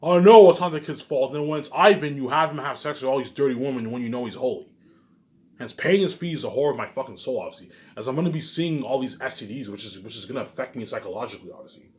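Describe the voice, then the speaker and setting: A man's voice: mid-range voice, expressive, fast, a little mellow. A man delivering a rambling, emphatic monologue straight to his viewers, repetitive, profane and accusatory in manner. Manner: emphatic and repetitive, punctuated with muttered tag words. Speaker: vlogger.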